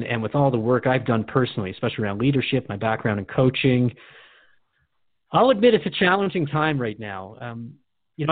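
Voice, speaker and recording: A man's voice: mid-range voice, no room sound. A man hosting a radio show in a conversational, earnest and reflective way. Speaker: radio host. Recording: dry, phone-like narrow band.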